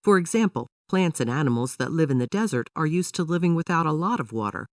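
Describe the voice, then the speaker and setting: A woman's voice: low voice, expressive, quick pace, dry recording, studio quality, distant mic. A woman voicing a patient father who explains things to his young daughter in a children's storybook, in an instructive manner. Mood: explaining.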